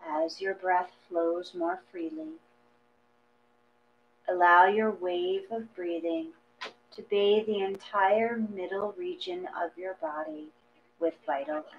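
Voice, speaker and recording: A woman reading a guided meditation from a script in a gentle, measured manner, leaving long pauses between phrases. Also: medium pitch, lively intonation, gravelly. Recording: dull lo-fi audio with mains hum.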